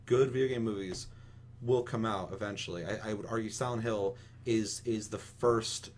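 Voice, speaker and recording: A man's voice: on the low side, fairly even intonation, normal speed, a little mellow. A man chatting casually as a podcast host, giving opinions in an emphatic, rambling way full of profanity. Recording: clearly audible hum and noise.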